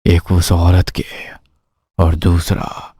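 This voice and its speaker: Deep, slow male horror narrator. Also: completely flat delivery, husky, dry recording, clean studio sound. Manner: suspenseful, dramatic. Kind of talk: scripted horror story narration. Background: loud music bed.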